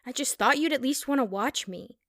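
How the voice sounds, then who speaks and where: A woman's voice: sits high, brisk, a bit bright. A woman playing a timid young niece of a villain in an audio drama, hesitant and subdued. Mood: hurt, hesitant.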